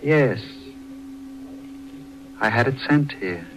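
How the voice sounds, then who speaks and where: An actor playing a cold, gloating avenger in a 1940s radio drama, taunting his victim with deliberate contempt on an old recording. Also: medium pitch, expressive, poor audio. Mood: gloating, contemptuous. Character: cold, gloating avenger, brother of a murdered man.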